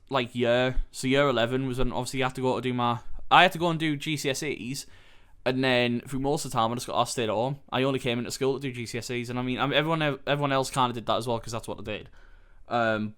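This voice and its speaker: A young man with a British accent speaks in a candid, rambling, sweary way, his voice a little nasal from an oncoming cold. His tone stays smooth and clear, at an ordinary middle pitch for a man. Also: fairly bright tone. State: coming down with a cold. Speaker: podcast host. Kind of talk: candid solo podcast monologue.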